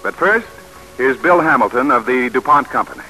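A man's voice: mid-range voice, lively intonation, brisk, pushed and bright, poor audio. Dramatic male radio announcer over prominent music. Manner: formal, dramatic. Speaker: announcer and narrator. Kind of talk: 1940s radio announcing, scripted narration.

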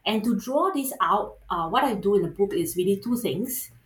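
A woman with a Singaporean accent, a sociologist and author answering interview questions thoughtfully, pausing often with ums and uhs. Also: mid-range voice, animated, roomy sound.

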